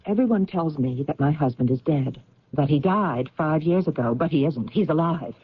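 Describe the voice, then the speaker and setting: Old radio drama lady, an earnest stubborn wife, lowish husky voice, muffled recording. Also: animated, normal speed. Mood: earnest, insistent. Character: insistent married woman convinced her supposedly dead husband lives.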